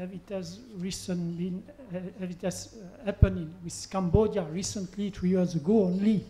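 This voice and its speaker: Slow, mellow man from Belgium. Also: high voice. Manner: slow, deliberate, repeats for emphasis. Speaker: audience member asking a question. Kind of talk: formal question at a panel event.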